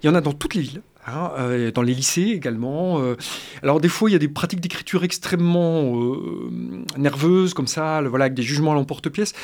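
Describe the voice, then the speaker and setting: Higher-voiced man, halting and analytical. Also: darkish tone. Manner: hesitant, many restarts and fillers, analytical. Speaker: guest expert on music history. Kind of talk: radio discussion.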